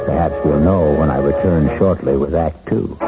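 An actor playing an ominous, suave mystery host, narrating in a measured, theatrical old-time radio style. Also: male voice, extremely low pitch. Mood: ominous, suspenseful. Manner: measured, theatrical rhetorical questioning. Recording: dull vintage radio, loud music behind. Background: loud music.

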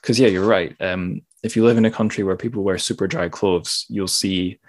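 A man with a fairly low voice hosts a casual interview. He thinks aloud and rambles a little, rewording his points as he goes. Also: steady tone, normal speed. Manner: rambling, self-correcting, thoughtful. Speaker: podcast host interviewing a guest.

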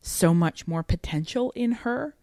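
Woman, low register, podcast co-host. Thoughtful, conversational analysis, searching for words, dry close recording. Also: lively intonation, measured pace, darkish tone, no room sound. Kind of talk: casual analytical discussion of fiction.

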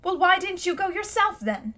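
A woman's voice: very high-pitched, sing-song, moderate pace, fairly bright tone, voice pushed up, heavily acted. A woman voicing an angry young schoolboy who speaks fiercely and indignantly.

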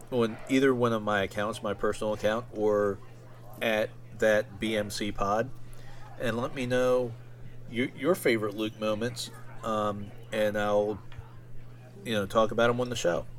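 A man talking casually and informally as a solo podcast host, in a halting, rambling way. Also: lowish voice.

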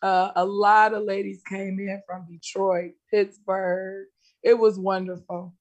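A woman guest speaking on a community radio show over a video call. Her voice is slightly raspy, and she answers in a friendly, upbeat way. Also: mid-range voice, little pitch movement, a little slow. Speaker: guest, small business owner. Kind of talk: interview answers.

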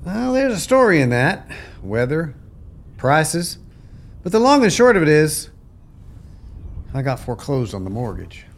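Man acting as weary American rancher, slightly high voice, hugely varied intonation, plain-spoken, radio drama. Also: very animated, a little slow, vocal fry, pauses often, heavily acted. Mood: bitter, resigned. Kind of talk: family radio play acting.

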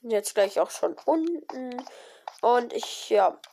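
A woman with a cold, giving casual, excited commentary on her own gameplay with many fillers and exclamations. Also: fairly high pitch, slow pace, gravelly. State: has a cold. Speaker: gaming video creator. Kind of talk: casual let's-play commentary.

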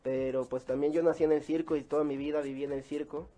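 A man's voice: fairly high pitch, little pitch movement, fast, mellow, dull audio, faint music behind. A man, chatting casually as an interview guest. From Mexico. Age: 20s. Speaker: interview guest, circus clown.

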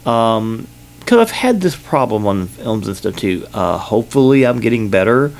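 A man with a Southern US accent talks into a sensitive studio microphone, hosting his own podcast. His voice sits low and carries a gravelly fry. He rambles casually, circling back with ums and restarts. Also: sing-song, moderate pace. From Kentucky, United States. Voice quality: loud voice at times. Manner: rambling, filler-heavy, self-correcting. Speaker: podcast host. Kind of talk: casual solo podcast update.

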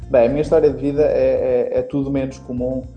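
A man's voice: medium pitch, little pitch movement, a little fast, highs cut off, soft background music. A young adult man with a European Portuguese accent, a guest on a casual podcast interview telling his story at length. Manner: long rambling storytelling. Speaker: guest, marketing instructor.

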